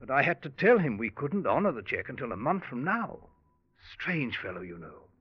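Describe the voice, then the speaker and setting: A man's voice: medium pitch, very animated, normal speed, breathy edge. An actor playing a polite, fussy bank cashier in 1940s radio drama style, proper and earnest as he explains. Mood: polite, concerned. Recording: dull vintage radio recording.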